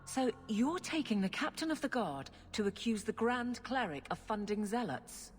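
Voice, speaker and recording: A woman's voice: a little high, lively intonation, normal speed, in a large hall, slight background noise, natural voice. An actress playing a stern, blunt female captain of the city guard in a video game. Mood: wry. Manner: blunt, commanding.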